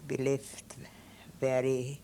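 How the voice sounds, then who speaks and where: A woman in her seventies from Austria with a Viennese German accent, German her first language, giving oral history testimony in slow, halting, simple phrases. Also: extremely low pitch, monotone, warm tone, a little hiss or hum. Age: 70s. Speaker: interviewee.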